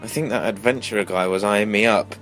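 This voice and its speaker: A man's voice: on the low side, fairly even intonation, fairly quick, a bit bright, faint music behind, lightly acted. A young man playing a jittery, wisecracking reluctant adventurer in a comic fantasy radio play, in a casual, grumbling manner. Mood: nervous, grumbling.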